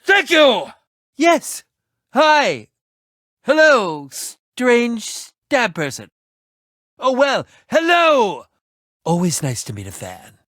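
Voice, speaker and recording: A man's voice: very high-pitched, wildly swooping pitch, very slow, long pauses, pushed and bright, no room sound, clean studio sound, full character voice. An actor playing an eccentric, self-important man who fancies himself a ninja in a video game, speaking in a pompous, dramatic, wordy manner. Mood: gleeful. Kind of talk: animated video game voice acting.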